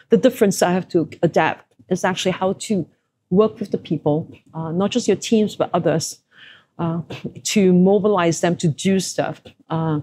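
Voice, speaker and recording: A woman's voice: on the low side, mellow. A middle-aged woman from Southeast Asia, a former executive, answering interview questions in a reflective, conversational way with frequent fillers.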